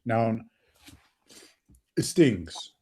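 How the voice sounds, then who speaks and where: Casting: male co-host, low mellow voice, sniffly, fervent, stumbles over repeated words. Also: very animated, drawn-out words, breathy edge. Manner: passionate, repeats words while thinking, swears. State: sniffly from hay fever. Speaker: podcast co-host. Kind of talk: casual football podcast chat.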